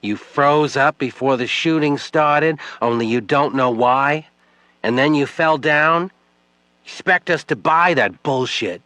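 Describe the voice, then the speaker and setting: A man's voice: a little high, slow pace, bright, forceful tone, no room sound, pitched above the performer's own voice. A man reading an audiobook, voicing a drunk, grief-stricken young widow who slurs and shrieks her accusations. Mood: furious. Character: drunk grieving young widow, furious, slurring.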